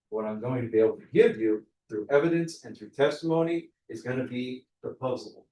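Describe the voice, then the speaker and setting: A man's voice: mid-range voice, darkish tone, a little hiss or hum. Man, prosecutor, conversational, distant mic, reverberant courtroom. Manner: fast, conversational, explains with examples. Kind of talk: jury selection questioning. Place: large courtroom. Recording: echoey courtroom from far off.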